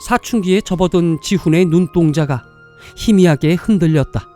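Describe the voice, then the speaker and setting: Mellow, higher-pitched male story narrator. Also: normal speed. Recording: music behind the voice.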